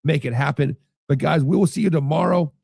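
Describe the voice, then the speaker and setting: A sick man hosts a football talk show with a hoarse, raspy voice that keeps cracking into fry. He rambles, restarts and repeats himself between readings of viewer comments. The sound is dry and close, with no room around it. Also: a little high, a little fast, a little mellow, dry recording. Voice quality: hoarse, gravelly. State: losing his voice, sick. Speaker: podcast host. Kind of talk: casual sports talk reading live chat.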